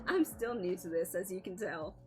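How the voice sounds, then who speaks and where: A woman chats casually on a live stream, her voice scratchy and somewhat low. She talks slowly, swears freely and keeps apologizing with a laugh, and clear music plays behind her.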